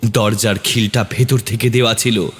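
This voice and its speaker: A man's voice: lowish voice, flat delivery, normal speed. A man narrating a scripted horror story in a dramatic, suspenseful manner.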